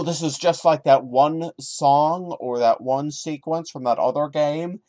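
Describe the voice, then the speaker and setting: A man's voice: medium pitch, darkish tone, dry recording. A man hosting a podcast, giving a dramatic story reading broken up by sarcastic, mocking commentary. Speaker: podcast host.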